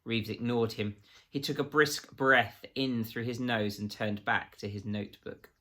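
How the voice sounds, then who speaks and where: A man reading a children's novel aloud as scripted, expressive narration. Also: medium pitch, unhurried. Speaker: story reader.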